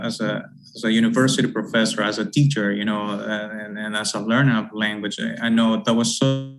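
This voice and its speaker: A man's voice: fairly low pitch, low-quality recording. A middle-aged man, a university English teacher giving an academic presentation, rambling with many fillers and repeated words. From Honduras. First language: Spanish.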